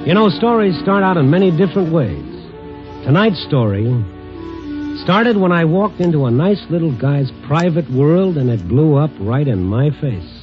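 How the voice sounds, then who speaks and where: A man's voice: on the high side, expressive, moderate pace, natural voice. A man acting a streetwise, world-weary American newspaper reporter with a wry, hard-boiled manner in 1950s radio noir style. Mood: brooding, wry. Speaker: lead actor and first-person narrator. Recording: old muffled radio recording, music behind.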